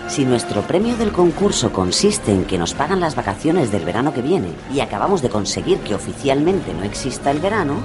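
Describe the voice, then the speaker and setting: An actress with a Castilian Spanish accent playing a bossy, sardonic, easily flustered secret-agent commander in a comic radio drama. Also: female voice, extremely low pitch, big pitch swings, brisk, hardly any pauses. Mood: wry, resigned. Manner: theatrical mock-military orders slipping into colloquial grumbling. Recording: loud music behind the voice.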